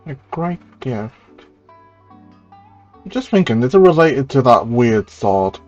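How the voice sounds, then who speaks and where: A man's voice: mid-range voice, sing-song, takes it slowly, darkish tone. A man commenting casually as he streams a video game. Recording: muffled stream audio with game music.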